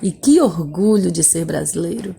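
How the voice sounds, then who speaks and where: Warm, husky woman teaching a lesson. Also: lowish voice, expressive, moderate pace. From Bahia, Brazil. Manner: chatty, friendly, often tags "né?" and speaks to pupils directly. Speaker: schoolteacher. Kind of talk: remote classroom lesson read from a textbook.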